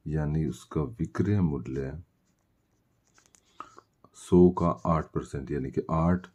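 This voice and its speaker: A man's voice: rumbling low, dark tone, husky. A man teaching maths step by step, posing questions and answering them himself as he explains. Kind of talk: step-by-step worked-solution lesson.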